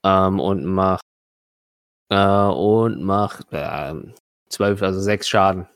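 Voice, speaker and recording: Deep, gravelly man as game master. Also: slow, no room sound, studio quality. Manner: hesitant, self-correcting. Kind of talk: improvised narration of tabletop combat.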